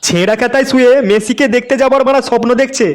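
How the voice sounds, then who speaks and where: A man's voice: extremely high pitch, fairly even intonation, rapid-fire. A man voicing a foul-mouthed, irritable cartoon father who scolds. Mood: angry, mocking. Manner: cursing, scolding, exasperated. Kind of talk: comic cartoon dubbing.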